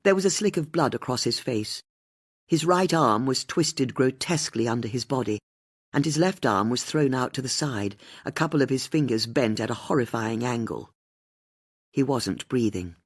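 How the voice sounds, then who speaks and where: A woman narrates a mystery audiobook. Her voice is husky rather than clean, and she reads the scripted prose with very varied intonation. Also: extremely low pitch, expressive, moderate pace.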